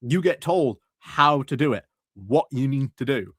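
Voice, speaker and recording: A man's voice: mid-range voice, lively intonation, measured pace, fairly bright tone, slightly rough, no room sound, clean studio sound. A man giving casual, emphatic political commentary as a host, often repeating points for stress. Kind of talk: casual political commentary over a played clip.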